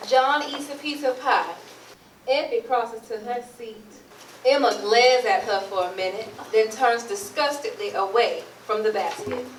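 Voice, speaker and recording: A woman's voice: on the high side, a little slow. A woman narrating a play, reading out scripted stage directions. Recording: roomy, distant mic, noisy.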